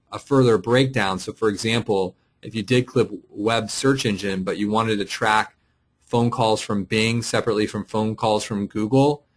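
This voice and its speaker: A man presenting a casual, unscripted software tutorial, explaining and thinking aloud as he clicks through. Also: fairly low pitch. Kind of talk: casual screen-share walkthrough, unscripted. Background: voice-over for a screen recording.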